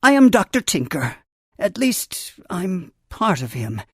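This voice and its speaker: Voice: medium pitch, sing-song, measured pace, breathy edge, dry recording, clean studio sound. An actor voicing a wise, earnest male creature mentor in a video game, with a formal, theatrical storytelling delivery. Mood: solemn, regretful. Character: wise male Funkey mentor, grand, earnest tree guardian. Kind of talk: scripted video game character dialogue.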